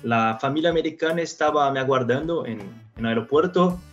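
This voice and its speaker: A man's voice: medium pitch, dull audio, faint music behind. A Brazilian man in his thirties, a native Portuguese speaker, talking as a podcast guest in Spanish with a Brazilian Portuguese accent, often restarting his phrases. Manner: halting, with frequent restarts. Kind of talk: casual interview talk.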